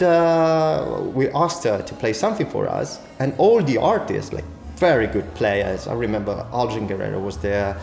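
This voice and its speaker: A man's voice: medium pitch, a little mellow. Guy musician doing a casual interview, roomy sound, music in background. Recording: echoey room, music behind.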